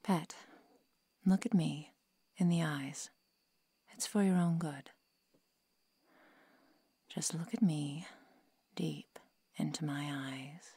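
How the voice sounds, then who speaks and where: A female vampire seductress, played by a woman in an ASMR roleplay, speaks in a dark, mellow and very slow hush. She is protective and coolly menacing, coaxing the listener deliberately, close and dry. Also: sits low, steady tone, slightly rough, no room sound, full character voice. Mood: hypnotic, soothing. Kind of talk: ASMR roleplay, intimate whispering.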